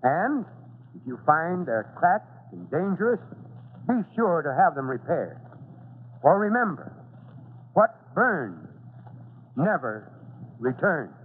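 Veteran fire chief, fatherly, concerned, high-pitched; 1940s radio serial, tinny and noisy. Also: very animated, slow, long pauses. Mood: fatherly, earnest. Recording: noisy, narrow-band 1940s radio recording.

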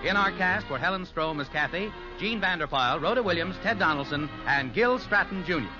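American guy announcing old radio show, high voice, music behind, muffled sound. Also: lively intonation, fairly quick. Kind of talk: 1950s radio scripted narration.

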